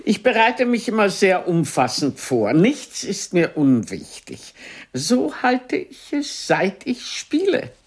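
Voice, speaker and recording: An aging grande dame of the theatre in a radio play. Her delivery is unhurried and sweeps widely up and down. She is haughty and formal, with a sharp, mocking edge. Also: mid-range voice, wildly swooping pitch, breathy edge, heavily acted. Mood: proud, mocking. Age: older. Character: imperious aging stage actress, sharp-tongued and mocking. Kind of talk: radio drama dialogue.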